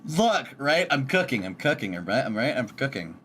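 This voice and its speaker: A man's voice: medium pitch, big pitch swings, moderate pace, fairly bright tone. A man livestreaming in a casual chat, mumbling and thinking aloud to himself as he works. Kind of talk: casual chat while drawing on stream. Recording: clear background noise.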